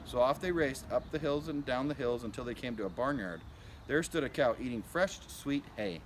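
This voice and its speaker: Male storybook reader, medium pitch, child-directed narration, clearly noisy recording. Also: expressive, normal speed. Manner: simple, child-directed narration with asides about the pictures. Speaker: school principal reading to children. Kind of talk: picture book read-aloud.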